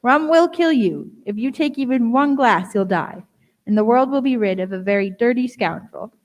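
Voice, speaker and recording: A woman's voice: fairly high pitch, animated, moderate pace, lo-fi sound, natural voice. A woman playing a brusque, no-nonsense male country doctor, stern and blunt, in a radio play. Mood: stern, scolding.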